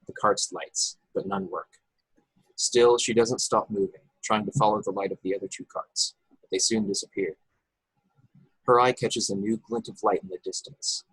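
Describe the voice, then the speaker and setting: A man with a husky voice reading stage directions as narrator of a script table read over a video call. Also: fairly low pitch, fairly bright tone, no echo, low-quality recording. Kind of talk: scripted narration with occasional stumbles.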